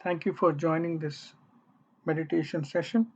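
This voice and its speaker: A meditation guide speaking gently and deliberately, leaving long pauses between short phrases. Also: medium pitch, steady tone, breathy edge. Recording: dull, muffled lo-fi audio.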